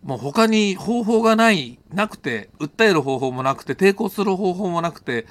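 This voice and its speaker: A middle-aged man from Japan hosts a solo storytelling monologue, reflective and unscripted, pausing to think aloud and correct himself. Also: high voice, expressive, a bit bright. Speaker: host telling personal stories on an audio show.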